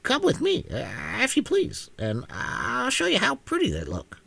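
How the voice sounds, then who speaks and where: A man putting on a sharp-voiced bossy hen. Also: very high voice, wildly swooping pitch, creaky, pitched above the performer's own voice, heavily acted. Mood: brisk. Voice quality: sharp, brisk.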